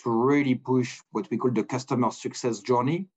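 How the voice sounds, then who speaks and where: A man's voice: mid-range voice, fairly even intonation, normal speed, darkish tone. A man hosting a business podcast interview, talking loosely with many restarts and uneven phrasing. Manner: rambling, many restarts, non-native phrasing. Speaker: podcast host.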